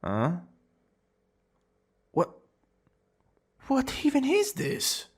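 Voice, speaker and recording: A man's voice: very high voice, huge pitch swings, heavily acted. A man playing a smug, dramatic young villain student who is secretly smitten, in an ASMR romance roleplay. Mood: flustered, exasperated. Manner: dramatic, drawn-out boasting that slips into breathy whispers and flustered exclamations. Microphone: close studio-style microphone.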